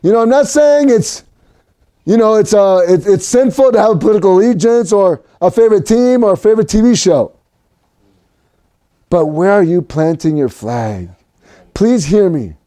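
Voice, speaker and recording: A man from Mexico preaching a sermon for an online service, animated and rising to shouts at his peaks. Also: sits high, normal speed. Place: home recording for a virtual service.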